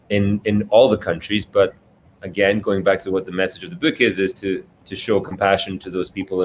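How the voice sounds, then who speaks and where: A man from Scotland answering questions as a guest author, agreeable and thoughtful as he finds his phrasing. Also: sits low. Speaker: children's book author, guest. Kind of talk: live Q&A, conversational answers. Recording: clear background noise, online live stream.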